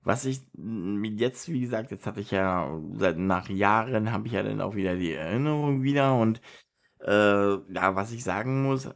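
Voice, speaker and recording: A man's voice: on the low side, moderate pace, vocal fry. A man in his fifties hosting his own podcast, talking in a loose, rambling way with lots of filler words and repeated phrases. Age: 50s. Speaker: podcast host. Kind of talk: casual solo podcast monologue.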